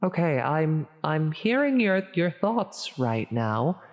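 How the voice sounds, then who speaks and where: Slow, echoing demoness played by a woman. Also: sits low, big pitch swings, darkish tone, muffled recording, exaggerated voice. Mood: puzzled, thrown off. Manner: theatrical, formal, halting delivery. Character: menacing female demon, grandiose, increasingly flustered and concerned. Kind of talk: ASMR audio roleplay monologue. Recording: long cavernous reverb.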